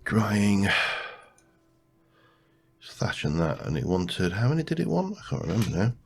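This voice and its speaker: A man's voice: lowish voice, sing-song, unhurried, vocal fry, a little mellow, breathy edge. A man with a congested, cold-stuffed voice, thinking aloud as he hosts a casual gameplay commentary. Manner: thinks aloud, muttering to himself. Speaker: let's play host.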